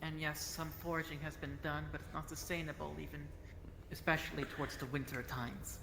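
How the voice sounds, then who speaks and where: A man playing an earnest, formal creature negotiator in an online roleplay, choosing words in a measured, halting way. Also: fairly high pitch, steady tone, gravelly. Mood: explanatory. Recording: echoey noisy voice chat.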